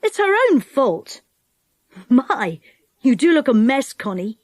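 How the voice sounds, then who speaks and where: A woman's voice: high voice, very animated, takes it slowly, dry recording, clean studio sound, full character voice. A woman doing a cheeky, teasing boy. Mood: mocking. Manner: teasing, grinning.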